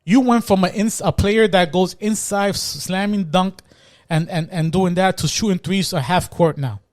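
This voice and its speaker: A man's voice: high voice, normal speed. A man co-hosting a podcast, debating sports casually in a combative, emphatic way and swearing freely. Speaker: podcast co-host.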